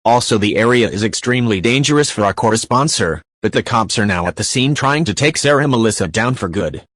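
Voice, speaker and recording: A man's voice: lowish voice, animated, a little fast, a bit bright, no echo. A man playing a solemn TV news anchor, reading a scripted breaking news report. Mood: grave, reporting.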